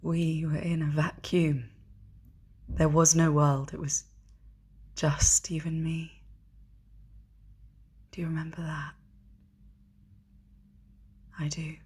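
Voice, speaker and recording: A woman performing a hushed dramatic monologue as a wistful, sad lover remembering intimacy, breathy and nearly whispering. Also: low voice, flat delivery, takes it slowly, pauses often, full character voice. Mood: wistful sadness.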